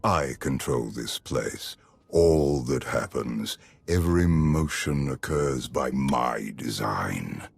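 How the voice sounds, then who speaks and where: An ancient evil emperor from a video game, with a bottomless, husky voice. He speaks slowly, with calm menace and pompous detachment. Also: very deep voice, no room sound, exaggerated voice. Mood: imperious. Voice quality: gravelly, husky. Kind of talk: dramatic video game acting.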